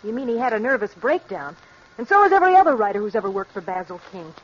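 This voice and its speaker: An actress playing a worried, insistent wife, pleading and emphatic, in 1940s radio drama style. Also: female voice, on the high side, very animated, fast. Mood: exasperated, pleading. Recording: muffled old radio recording.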